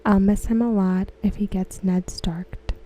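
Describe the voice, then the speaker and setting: A woman reading aloud in a soft, breathy, near-whispered ASMR style, gentle and measured, close to the microphone. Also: mid-range voice, fairly even intonation, a little hiss or hum, poor audio. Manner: gentle, hushed, measured delivery. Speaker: ASMR host. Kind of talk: softly spoken ASMR read-aloud of forum comments.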